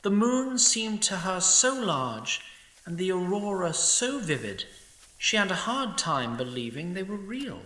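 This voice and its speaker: A man's voice: high voice, sing-song, a little slow, pushed and bright, full character voice. A man voicing a snarky, timeless male sprite who narrates an audio drama with opinionated asides. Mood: wry. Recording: roomy echo.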